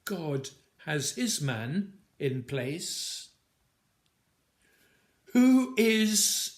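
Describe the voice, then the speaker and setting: A man reads his own narrative Bible poem in a measured, deliberate way, in short phrases set apart by pauses. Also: high-pitched, a bit bright. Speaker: poet reading own work. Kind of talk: dramatic poetry recitation of a Bible story.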